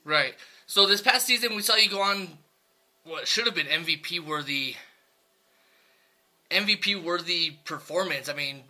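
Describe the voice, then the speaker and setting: Male podcast host, a bit high and very bright, chatty and easygoing, rambling questions. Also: moderate pace, pauses often. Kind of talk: casual interview chat.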